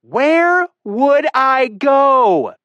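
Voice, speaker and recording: A man's voice: expressive, moderate pace, no room sound, highs cut off. A man voicing a panicked young woman who shrieks and scolds frantically. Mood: hysterical sarcasm. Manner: shrill, frantic, yelling.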